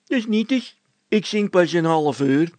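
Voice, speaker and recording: A man voicing a stubborn, mockingly calm road labourer who needles his workmate in a storybook tale. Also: high voice, a little slow, no echo, pitched above the performer's own voice. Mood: taunting calm.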